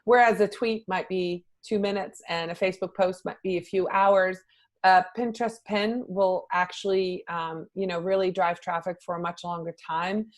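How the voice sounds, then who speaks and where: A woman's voice: fairly low pitch, fairly even intonation, moderate pace. Chatty Dutch-raised woman consultant. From Netherlands. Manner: chatty, many fillers and restarts. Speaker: social media consultant, podcast guest. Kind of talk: conversational interview answers.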